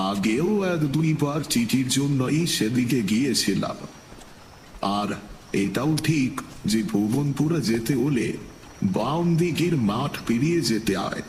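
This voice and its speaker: A man's voice: mid-range voice, slow pace, a little hiss or hum. A man narrating a scripted horror story in a reverberant recording. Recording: echoey, long reverb, music behind.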